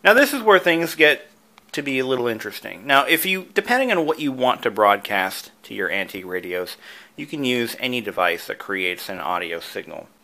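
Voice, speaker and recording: A man's voice: medium pitch, very animated, fairly quick, sharp tone. A man narrating a casual how-to video as its host, explaining things informally with asides. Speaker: video creator and host, antique radio hobbyist.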